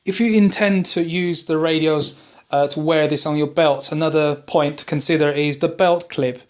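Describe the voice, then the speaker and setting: A man's voice: a little high, fairly even intonation, normal speed. A man presenting an informal product buying guide as a hobbyist, explaining and advising as he rephrases along the way. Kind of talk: informal product advice video.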